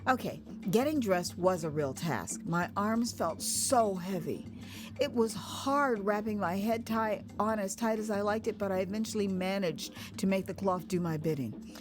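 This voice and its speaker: An American woman narrating her own memoir as a dramatized first-person story, conversational and expressive, acting out moments as she goes. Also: medium pitch, normal speed. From United States. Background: music.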